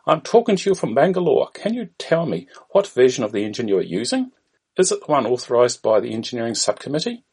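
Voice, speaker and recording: A man's voice: mid-range voice, very animated, quick pace, darkish tone, husky, pitched above the performer's own voice. A man voicing a polite, unflappable Indian call-centre agent with a put-on Indian accent and scripted questions. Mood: polite, unhelpful.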